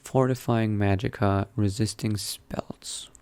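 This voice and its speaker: Male roleplay gamer, fairly low pitch, measured bookish read with muttered in-character asides. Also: steady tone, darkish tone, clear, resonant tone. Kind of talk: in-character game roleplay, reading aloud and musing.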